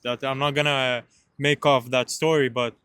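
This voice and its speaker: A man's voice: medium pitch, flat delivery, moderate pace, a bit bright, smooth, dry recording. A nineteen-year-old man from Dubai in a casual podcast conversation, talking informally and leaning on "you know" and "basically". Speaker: podcast host and entrepreneur telling his story.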